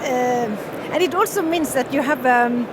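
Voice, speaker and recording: A woman in her fifties from northern Norway with a Norwegian accent, a fiddle player talking in an interview, halting with many fillers and restarts. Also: high voice. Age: 50s. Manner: hesitant, many fillers and restarts, laughs often. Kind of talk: casual interview. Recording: loud street noise behind.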